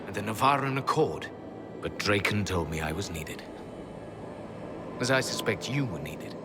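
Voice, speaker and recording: Weary old man, deep and solemn. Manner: solemn, measured, confessional. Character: regretful old male inquisitor and hunter, weary, dignified.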